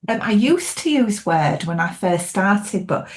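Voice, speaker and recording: A woman with a Yorkshire accent, talking as an author guest in a relaxed interview, chatty with frequent ums and easy laughs. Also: mid-range voice, a little slow. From Yorkshire, England. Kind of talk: casual interview chat.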